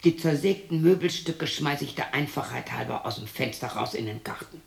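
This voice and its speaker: Husky, creaky-voiced lonely old widow. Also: female voice, low voice, no room sound, heavily acted. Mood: weary, matter-of-fact. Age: 60s. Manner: muttering, brooding, erratic, halting. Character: lonely, embittered sixty-year-old widow talking to herself. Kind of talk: radio play monologue.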